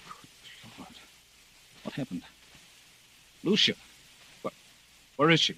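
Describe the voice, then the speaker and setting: Startled suspect husband, fairly high, forceful, swooping, stammering, vintage radio drama. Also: male voice, lively intonation, slow pace, slightly rough, full character voice, rough recording. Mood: alarmed. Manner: urgent, stammering. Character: agitated, startled husband under suspicion. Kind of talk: golden age radio drama acting.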